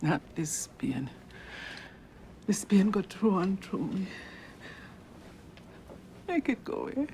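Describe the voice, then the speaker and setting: A sick Jamaican woman in a hospital bed, talking slowly in a hushed, breathy whisper. Her warm, mellow voice swings up and down as she speaks, and she is blunt and cheeky. It sounds like a film scene. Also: mid-range voice, sing-song, slight background noise, exaggerated voice. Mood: pained. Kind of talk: film drama dialogue.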